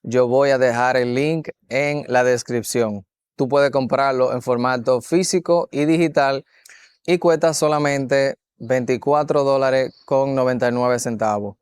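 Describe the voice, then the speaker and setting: A Dominican man with a Dominican accent narrating his travel vlog slowly and clearly for learners. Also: mid-range voice, steady tone, no room sound, studio quality. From Dominican Republic. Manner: clear, measured delivery for learners. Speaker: vlogger. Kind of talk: slow scripted narration.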